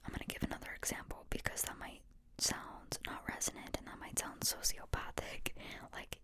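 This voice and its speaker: A woman talks in a soft, breathy whisper with almost no voice behind it. She rambles reflectively in an ASMR style, earnest and informal, often questioning herself as she explains. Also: normal speed. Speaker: host sharing reflections on a psychology book.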